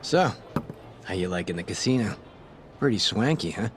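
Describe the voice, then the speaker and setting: An actor playing a corrupt police detective in a video game, cocky and street-wise, talking in slangy, smug, teasing lines. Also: male voice, lowish voice, lively intonation, normal speed, very noisy, lightly acted. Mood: smug, welcoming. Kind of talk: video game cutscene acting.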